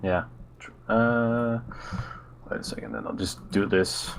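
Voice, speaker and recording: A man's voice: on the low side, measured pace, creaky. A man chatting casually as a player in a tabletop game, on a slightly noisy microphone.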